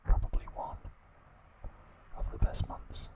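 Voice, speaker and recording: A man hosting ASMR, whispering in hushed, drawn-out short phrases with frequent pauses. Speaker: host of an ASMR channel. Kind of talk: ASMR, whispered trivia talk and reading aloud.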